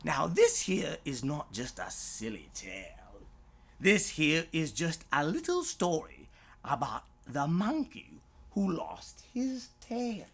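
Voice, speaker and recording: A storyteller narrating a rhyming bedtime story in a theatrical, drawn-out way with dramatic swoops. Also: mid-range voice, big pitch swings, slightly rough. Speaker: storyteller, author reading own story.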